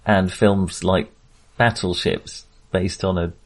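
A man's voice: sits low, steady tone, slow, rough recording. A man co-hosting a film podcast in casual conversation, tentative and understated, often qualifying what he has just said.

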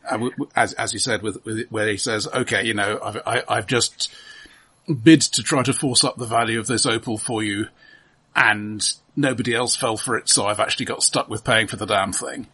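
A man co-hosting a film podcast, thoughtful and halting, often repeating words as he builds his point, with a husky voice. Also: lowish voice, fairly even intonation, pushed and bright. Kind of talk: casual film discussion.

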